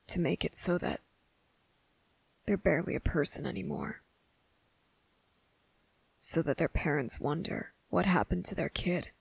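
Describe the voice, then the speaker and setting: A woman voicing a guarded, defiant young boy with deliberate, halting, serious delivery in an ASMR roleplay audio drama. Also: lowish voice, creaky, breathy edge, no room sound, heavily acted, poor audio. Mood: puzzled, guarded.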